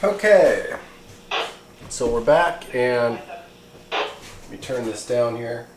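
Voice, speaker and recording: A middle-aged man talks through a hands-on gear review in a chatty, rambling way. His medium-pitched voice sounds keen and informal. The room adds clear echo, with a faint layer of noise underneath. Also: lively intonation, takes it slowly. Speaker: radio hobbyist reviewer. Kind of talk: casual unboxing review.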